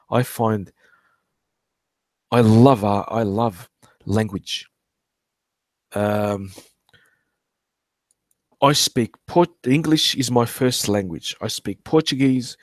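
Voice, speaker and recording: A man's voice: medium pitch, a little mellow, dry recording. A man hosting a casual live stream about archaeology, rambling with many fillers and restarts, swearing and joking with his chat. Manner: swears freely and jokes with the chat.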